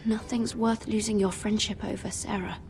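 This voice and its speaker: Guarded young noblewoman, played by a woman, mid-pitched. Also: little pitch movement, normal speed, darkish tone, slight background noise, natural voice. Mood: hurt, earnest. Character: defensive, loyal young noblewoman. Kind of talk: dramatic fantasy video game acting.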